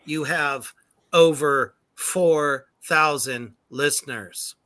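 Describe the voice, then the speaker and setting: A man's voice: fairly high pitch, extremely slow, bright, forceful tone. A man hosting a casual livestream chat with a band, loose and profane, laughing often. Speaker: podcast host.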